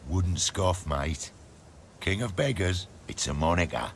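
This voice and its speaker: Gravelly, cocky street looter. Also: male voice, deep voice, lively intonation, a little slow, a little hiss or hum. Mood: sly, knowing. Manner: cocky, slangy, sly. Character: scruffy male street looter, cocky, sly lowlife. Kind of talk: video game voice acting.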